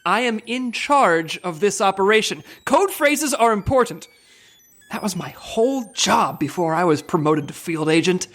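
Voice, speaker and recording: A woman's voice: mid-range voice, lively intonation, normal speed, natural voice. A woman playing an officious, easily exasperated agent in charge in a comic audio drama, with a fussy, insistent delivery.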